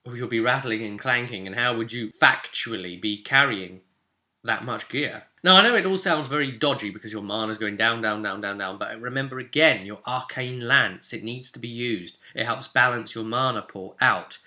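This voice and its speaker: A man's voice: mid-range voice, normal speed, sharp tone. A man giving a casual, chatty tutorial as a game guide, emphatic and conversational, often adding tag questions. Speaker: tabletop game guide presenter.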